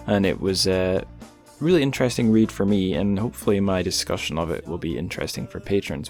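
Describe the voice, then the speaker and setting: Low-voiced relaxed male podcast host. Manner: relaxed, rambling, light joking. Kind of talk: casual podcast chat and plugs.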